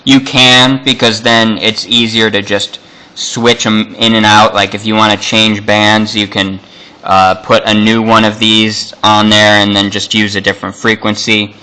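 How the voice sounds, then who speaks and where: A man's voice: lowish voice, little pitch movement, a little slow, pushed and bright, smooth, low-quality recording. A man giving an unscripted hands-on electronics demonstration, explaining things in a casual, matter-of-fact way.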